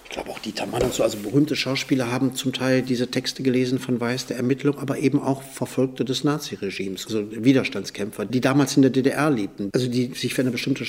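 A man's voice: medium pitch, fast talker, hardly any pauses, darkish tone, slight background noise. A man gives a spontaneous explanation in an interview, informal, digressive and often correcting himself. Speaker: interviewed commentator.

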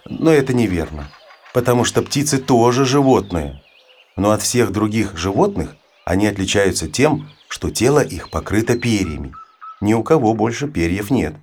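A man with a husky voice reads an educational narration for children in an expressive, storytelling manner. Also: lowish voice. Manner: storytelling, poses questions to the listener. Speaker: audiobook narrator.

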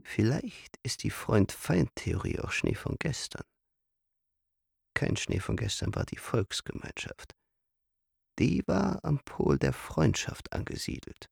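An actor playing a reflective, philosophizing councillor in a radio play, speaking in a measured way. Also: male voice, mid-range voice, sing-song, vocal fry, a little mellow, husky, dry recording, studio quality, exaggerated voice.

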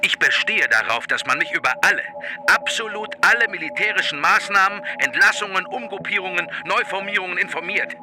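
Insistent statesman in crisis: highish voice, animated intonation, formal emphasis, radio drama, reverberant. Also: fairly quick, over music. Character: insistent, cautious government leader in crisis. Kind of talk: German radio play acting. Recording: reverberant room.

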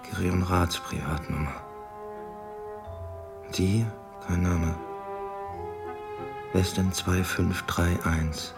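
A man's voice: low voice, fairly even intonation, drawn-out words, creaky, pauses often, music in the background, heavily acted. An actor playing a brooding, suspicious police detective who mutters his thoughts in a radio drama. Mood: pensive. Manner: muttering, fragmentary, thinking aloud. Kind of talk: German radio drama acting with interior monologue.

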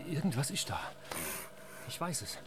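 A man's voice: a little high, very animated, normal speed. An actor playing an impulsive young man searching the woods at night in a radio drama, talking in urgent colloquial slang. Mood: restless, frustrated. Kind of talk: naturalistic radio drama acting. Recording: noisy outdoor ambience.

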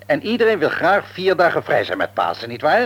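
A middle-aged father and manager in a radio play. His husky voice moves lively up and down, brisk, businesslike and used to being obeyed. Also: on the high side, exaggerated voice. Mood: busy, businesslike. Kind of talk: radio drama acting.

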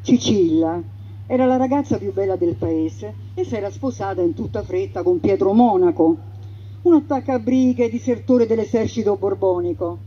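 A woman narrating a stage story in a theatrical, emphatic way, swinging between loud passages and hushed ones, heard through a radio broadcast. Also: medium pitch, normal speed, husky, highs cut off.

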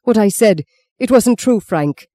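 A man's voice: a little high, unhurried, dark tone, dry recording, lightly acted. A man voicing a proud, hot-tempered middle-aged father full of remorse, with strained emotion, in an audiobook. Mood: shaken remorse.

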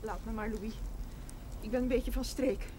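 A woman's voice: fairly high pitch, steady tone, normal speed, lightly acted. An actress playing an older widowed mother who runs a struggling shop, plaintive and stubbornly proud, in naturalistic television drama. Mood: subdued, plaintive. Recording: noisy 1970s television soundtrack.

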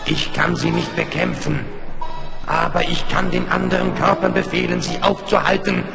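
A man playing a menacing, fearful alien plasma mass in a radio play, speaking slowly with a gravelly, creaking voice. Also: high-pitched, fairly even intonation. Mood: menacing, alarmed. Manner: slow, ominous declarations. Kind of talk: 1970s German radio play. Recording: long cavernous reverb over music.

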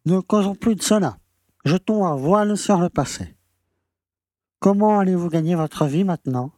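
Casting: male actor for pompous, slippery town mayor, talking down to everyone, fairly high voice, radio play. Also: moderate pace, dark tone, no echo, studio quality, natural voice. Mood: patronizing. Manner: pompous, patronizing. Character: pompous small-town French mayor, condescending and evasive. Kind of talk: audio drama acting.

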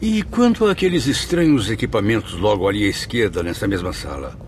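An actor playing a curious newcomer to the spirit world in a radio drama, speaking formally and deliberately. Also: male voice, fairly low pitch, animated, slight background noise, close to an everyday voice. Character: grateful, curious newcomer spirit recounting his journey. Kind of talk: radio drama acting and narration. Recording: music clearly behind the voice.

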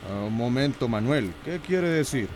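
A man's voice: medium pitch, expressive, moderate pace, a little mellow, a little hiss or hum, natural voice. A man playing a self-important, defensive village official in a radio soap opera. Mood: indignant.

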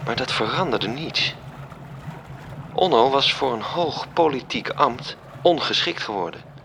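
A man's voice: medium pitch, gravelly, noisy background. A man narrating a scripted radio drama as its storyteller.

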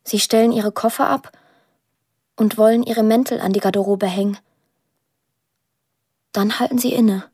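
An actress playing a young woman who narrates a radio drama in a plain, reflective, measured way. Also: medium pitch, steady tone, dark tone, husky, no echo, studio quality, natural voice. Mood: wistful, tense. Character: pensive young woman narrating her estranged family.

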